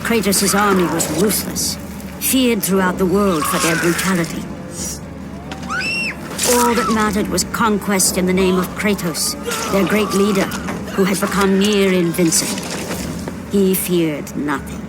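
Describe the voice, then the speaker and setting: An actress playing a grave storyteller, narrating a mythic video game tragedy in a solemn, portentous way over music. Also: female voice, mid-range voice, measured pace, pauses often, natural voice. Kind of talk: dramatic scripted game cutscene narration. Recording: loud music behind the voice.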